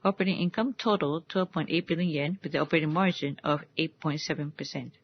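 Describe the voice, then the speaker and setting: Measured woman presenter, muffled conference call sound. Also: deep voice, breathy edge. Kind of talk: formal financial results presentation.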